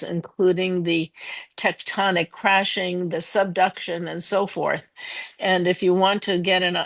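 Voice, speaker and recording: A woman with a nasal voice from a cold, speaking on a video call and chiming into a class discussion with effusive, enthusiastic remarks. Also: lowish voice. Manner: enthusiastic, effusive descriptive talk. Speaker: class participant. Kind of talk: contributing to an online class discussion.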